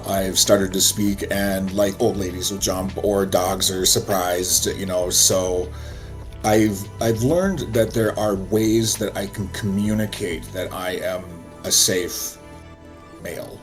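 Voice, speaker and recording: Gentle man with a very low voice. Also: steady tone, takes it slowly, faint music behind. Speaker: guest. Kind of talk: casual conversation.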